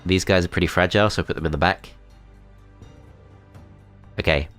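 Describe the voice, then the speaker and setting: A man with a cold and a blocked-up, nasal voice, calmly talking through his moves in a strategy game. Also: low voice, fairly quick, faint music behind. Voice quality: nasal, congested. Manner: calm, methodical, thinking aloud. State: has a cold, blocked-up nose. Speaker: gameplay commentator. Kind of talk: strategy game let's play commentary.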